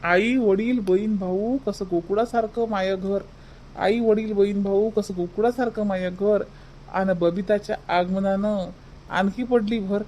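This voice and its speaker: A man's voice: high-pitched, steady tone, a little slow, a little mellow, a little hiss or hum. A man voicing a grumbling, henpecked village husband in a comedy cartoon, folksy. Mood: showy.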